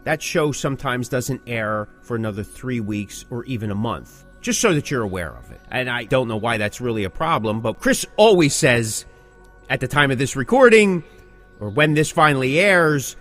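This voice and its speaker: Man, middle-aged, medium pitch, casual podcast talk, emphatic repetition, faint music underneath. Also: expressive, normal speed, a bit bright. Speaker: podcast co-host. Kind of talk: casual chat.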